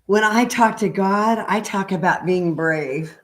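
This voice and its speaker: A middle-aged woman in a gentle read-aloud style with casual, chatty asides and a halting, unhurried manner. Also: mid-range voice. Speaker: spiritual healer reading a picture book aloud.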